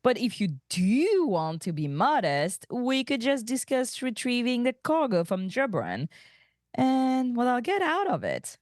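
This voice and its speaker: A woman's voice: on the high side, very animated, unhurried, darkish tone, no echo, clean studio sound. A woman reading the part of a seductive, unapologetic female alien space rogue in a comedic table read. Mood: sultry, sly.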